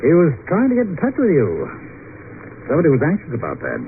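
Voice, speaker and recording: An actor playing a businesslike, matter-of-fact police lieutenant in an old-time radio drama. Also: male voice, fairly high pitch, wildly swooping pitch, fast. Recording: tinny, noisy old radio recording.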